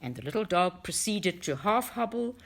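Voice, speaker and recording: A woman reading a folktale aloud as expressive storybook narration, in a measured, dramatic way. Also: lowish voice, creaky. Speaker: reader of a folktale for a book study group.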